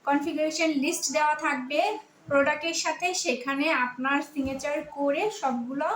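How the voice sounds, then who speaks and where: Bangladeshi woman, customer relations officer, high voice, explains products with repeated phrases, faint noise behind. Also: fairly even intonation, a little fast, fairly bright tone. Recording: echoey room, faint background noise.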